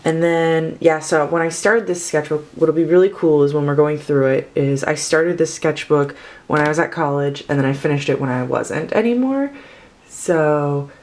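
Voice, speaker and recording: A woman's voice: low voice, normal speed, a little mellow. A woman in her twenties, sick and sounding a little off, chatting casually and rambling as she flips through her sketchbook. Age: 20s. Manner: chatty, rambling, self-correcting. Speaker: artist vlogger.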